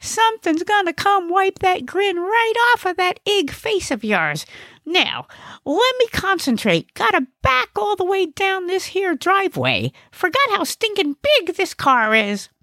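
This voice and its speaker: Woman doing a very high-pitched, bossy little male alien. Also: very animated, unhurried, sharp tone, no room sound, clean studio sound, exaggerated voice. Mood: bossy, boastful. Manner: brash, folksy slangy grammar with made-up words. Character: bossy, cranky small male alien, mangles big words. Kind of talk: audiobook character voice.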